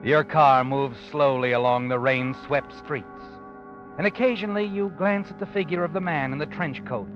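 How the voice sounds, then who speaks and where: An ominous male narrator of a vintage radio thriller, played by an actor, telling the tale straight to the listener with brooding suspense. His voice sits a little high, on a dull old broadcast recording with loud music underneath. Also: natural voice. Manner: brooding, suspenseful, deliberate dramatic delivery. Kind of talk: 1940s radio drama narration in second person.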